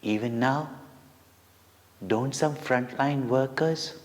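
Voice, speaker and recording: Slow-speaking Indian man in a reverberant hall. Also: medium pitch. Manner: deliberate, dramatic, with short pauses between phrases. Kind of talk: devotional storytelling for children. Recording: long reverberant hall echo.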